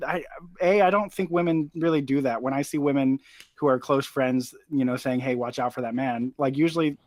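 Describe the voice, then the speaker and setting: Chatty young man, mid-pitched, dry sound. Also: a little fast, a little mellow, no echo. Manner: earnest, filler-heavy, many "like"s. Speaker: podcast guest, film content creator. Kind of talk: casual movie chat.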